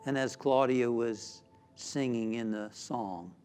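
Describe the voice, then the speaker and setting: A man, a minister, leading a spiritual guided meditation in a soothing, deliberate way with frequent pauses. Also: medium pitch, darkish tone. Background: background music.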